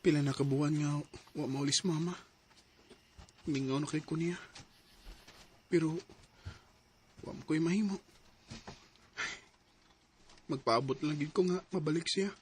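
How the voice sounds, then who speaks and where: In this audio drama, a young boy with a bright, high-pitched voice keeps asking plaintive questions, as a man voices him. He sounds like a worried son who misses his mother. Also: normal speed, long pauses, a little hiss or hum, natural voice. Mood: lonely. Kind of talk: Cebuano audio drama acting.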